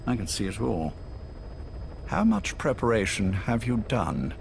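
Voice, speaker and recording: An actor playing an older male spymaster who speaks in a calm, courteous, probing way. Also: on the low side, unhurried, vocal fry, a little hiss or hum, exaggerated voice. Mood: measured.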